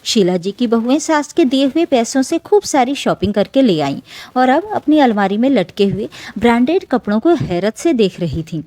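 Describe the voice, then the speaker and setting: A woman narrates an emotional family tale in a scripted, dramatic storytelling style. Her voice sits a little high and moves with lively melody, yet stays soft and mellow in tone. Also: moderate pace, dry recording.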